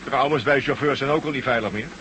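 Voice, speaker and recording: An actor playing a chatty, down-to-earth truck driver with a brash, jocular, colloquial manner in a radio drama. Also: male voice, mid-range voice, fast, sharp tone, natural voice. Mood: confiding. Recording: noisy old radio play recording.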